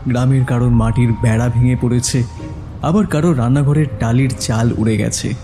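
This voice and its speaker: Mellow male horror story narrator. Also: medium pitch. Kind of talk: scripted suspenseful storytelling. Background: background music.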